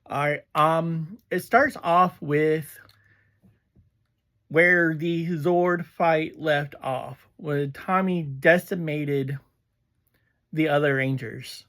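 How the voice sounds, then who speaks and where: A reviewer hosts a casual show in a medium-pitched voice. The delivery is very slow and halting, with frequent breaks in the middle of sentences. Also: fairly even intonation, fairly bright tone. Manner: halting, pauses often mid-sentence. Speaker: reviewer and host of a review series. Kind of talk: casual episode review to camera.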